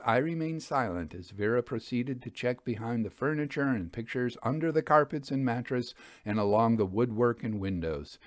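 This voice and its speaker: A man's voice: medium pitch, no room sound. Man reading a story, close dry sound. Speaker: storyteller reading fiction aloud. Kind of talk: scripted first-person story narration.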